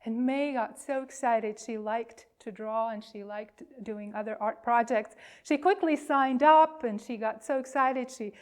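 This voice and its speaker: Slow, higher-pitched woman minister telling a story. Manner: deliberate phrasing with pauses. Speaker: minister leading worship. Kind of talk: worship reading and children's storytelling.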